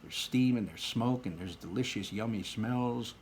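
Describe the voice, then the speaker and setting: A man's voice: fairly low pitch, normal speed, noisy background. A man reading a children's book aloud as a storyteller, with dramatic emphasis on descriptive words and casual asides.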